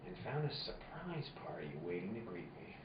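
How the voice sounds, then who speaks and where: A man's voice: fairly low pitch, very animated, fairly quick, recorded from a distance. A man playing a tough, wisecracking private detective in an old-time noir radio play, clipped and wry. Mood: dramatic. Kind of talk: 1940s noir radio narration and acting. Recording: echoey, dull, noisy room, off mic.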